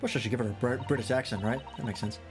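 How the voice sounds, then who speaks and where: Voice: medium pitch, racing. A streamer giving casual, joking commentary with plenty of swearing between dialogue lines. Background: game music.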